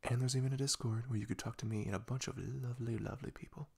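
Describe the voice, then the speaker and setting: A man giving a soft-spoken update as an ASMR audio creator, hushed and often slipping into a whisper. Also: medium pitch, steady tone, fast, vocal fry, slightly rough.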